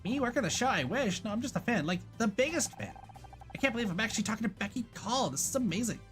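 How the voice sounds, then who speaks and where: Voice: mid-range voice, sing-song, quick pace, faint music behind, close to an everyday voice. A performer voicing a starstruck, awkward male superfan in a video game, stammering and gushing.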